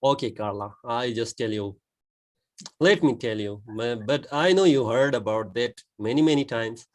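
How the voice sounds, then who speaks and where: A man's voice: medium pitch, moderate pace. A man from Pakistan with a Pakistani accent, chatting on a video call from home, laughing often and hesitating with many uhs. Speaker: co-host and guest. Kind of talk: casual chat on a video call. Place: his home.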